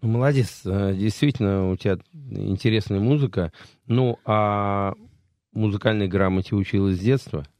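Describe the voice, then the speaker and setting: A middle-aged man hosting a studio interview, relaxed and chatty, telling stories from his band days. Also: lowish voice, moderate pace, no room sound. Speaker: radio show host. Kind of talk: casual interview chat.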